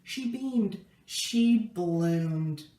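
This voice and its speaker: Low-pitched woman reading aloud, echoey room. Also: animated, extremely slow, dark tone. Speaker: storybook reader. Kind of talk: expressive read-aloud of a children's story. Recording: roomy echo, distant microphone.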